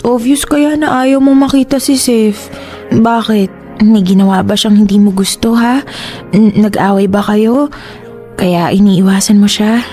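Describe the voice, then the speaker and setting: A woman's voice: medium pitch, steady tone, measured pace, mellow, close to an everyday voice. Woman acting clingy, nagging Filipino little sister. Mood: nagging, suspicious. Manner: nagging, wheedling. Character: clingy, teasing, sulky youngest sister. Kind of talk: Tagalog radio drama acting. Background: music.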